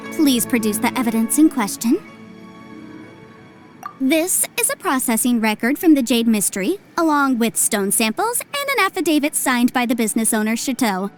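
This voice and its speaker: An actress playing a confident young legal adviser in a video game, speaking in crisp, formal, lawyerly phrases. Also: female voice, high-pitched. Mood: assured. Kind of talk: video game cutscene voice acting.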